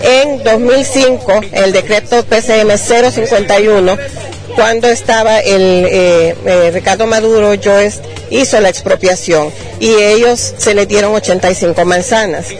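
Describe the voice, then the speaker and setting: A woman interviewed outdoors, calmly and firmly defending her claim in detail. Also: mid-range voice, moderate pace, soft background music, poor audio. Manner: firm, detailed, self-defending. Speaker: landowner's granddaughter. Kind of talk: street news interview.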